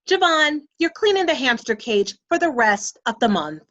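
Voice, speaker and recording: A woman's voice: on the high side, lively intonation, measured pace, sharp tone, highs cut off. A woman playing a stern mother in an audio drama, scolding her children in an emphatic way. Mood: exasperated, scolding. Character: stern mother of bickering children at the dinner table.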